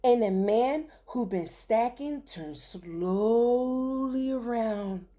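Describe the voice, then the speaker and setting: A woman reading a rhyming children's story aloud with theatrical, deliberate phrasing. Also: on the high side, warm tone.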